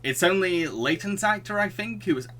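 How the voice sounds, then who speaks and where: A man's voice: high voice, expressive, normal speed, pushed and bright. A young adult man hosting a film discussion podcast, talking through plots and opinions. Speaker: podcast host. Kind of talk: film discussion, partly read-out plot summary.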